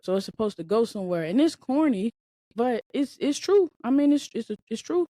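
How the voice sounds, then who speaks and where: A woman's voice: on the high side, big pitch swings, normal speed, dark tone, no room sound. A woman in her late twenties hosting a solo podcast, talking casually and emphatically with lots of repetition, like a motivational pep talk. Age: 20s. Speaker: podcast host.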